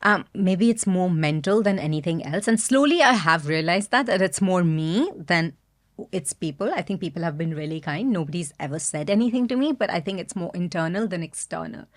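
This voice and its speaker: Indian woman talking soft and honest on a podcast, lowish voice. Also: animated, brisk. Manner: soft-spoken, candid, thoughtful. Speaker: guest, business founder. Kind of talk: reflective podcast interview.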